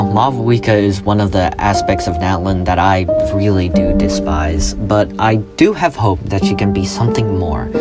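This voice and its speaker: A man with a fairly deep voice narrates a scripted video essay. He phrases his theory like a dramatic story, as a creator presenting to followers. Loud music plays behind him throughout.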